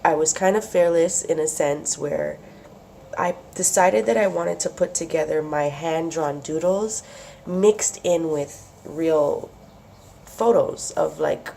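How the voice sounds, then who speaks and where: Young woman vlogger, hoarse and sniffly from a cold, chatty and giggly, low gravelly voice. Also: a little mellow, a little hiss or hum. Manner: chatty, laughs often. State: losing her voice, sick and sniffly. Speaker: craft vlogger. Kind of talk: casual show-and-tell.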